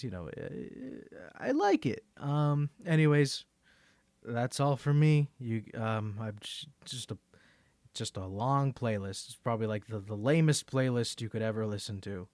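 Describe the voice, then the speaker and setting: A man's voice: mid-range voice, a little mellow, dry recording. A man chatting casually in a rambling, hesitant, self-deprecating way, as a creator introducing his own audio. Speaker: ASMR creator and amateur singer.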